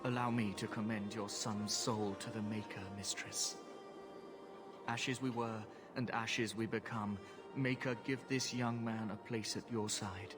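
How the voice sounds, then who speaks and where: A man playing a solemn warrior in a fantasy game, speaking gravely, with measured reverence. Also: fairly low pitch, fairly even intonation, over music, lightly acted. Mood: solemn, reverent. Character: solemn male warrior giving funeral rites. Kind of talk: video game drama acting.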